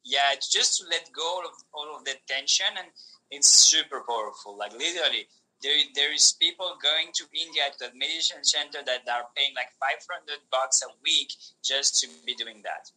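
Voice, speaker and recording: A man whose first language is Polish, talking as a podcast guest in an animated way, with many restarts and fillers. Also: fairly high pitch, moderate pace, low-quality recording. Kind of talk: casual conversation.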